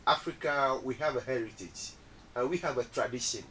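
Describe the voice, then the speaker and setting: A man's voice: medium pitch, a little fast, sharp tone, a little hiss or hum. A man hosting a casual studio interview, welcoming his guest and echoing answers back as questions. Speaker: interviewer and cultural festival host. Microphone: studio microphone.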